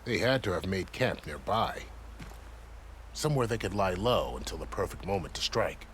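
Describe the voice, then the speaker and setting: A man's voice: deep voice, lively intonation, moderate pace, fairly bright tone, a little hiss or hum. A man playing a friendly, dutiful gate commander in a fantasy frontier video game. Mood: grave.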